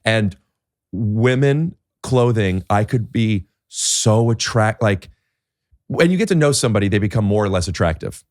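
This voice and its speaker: A man hosting a podcast in casual conversation, talking in halting stops and restarts with many fillers. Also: lowish voice, animated, a little mellow, no room sound, studio quality. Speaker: podcast host.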